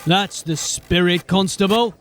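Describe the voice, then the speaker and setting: A man playing a stern, hard-bitten, commanding police sergeant in a dark fantasy audio drama. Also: sits high, a little slow. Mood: wry, determined. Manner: curt, commanding.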